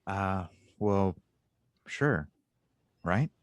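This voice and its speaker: Older male inventor, acted by a man, low pitch, genial, wistful, conversational, unhurried, dry sound, audio drama. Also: expressive, dry recording, full character voice. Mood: friendly, wistful. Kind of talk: audio drama table read.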